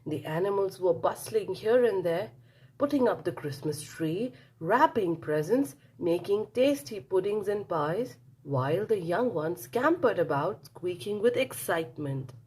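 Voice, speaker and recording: A woman reads a storybook aloud for young children. Her delivery is slow and very animated, with a slightly husky voice. She tells it dramatically and draws the listeners in. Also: medium pitch, low-quality recording. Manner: dramatic, engages listeners with questions.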